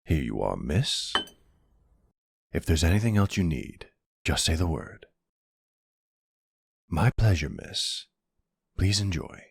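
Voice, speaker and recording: A man's voice: deep voice, sing-song, gravelly, heavy background noise, exaggerated voice. A man voicing a polite, obliging male butler serving dinner in an ASMR roleplay. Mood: courteous. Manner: courteous, brisk servant's politeness.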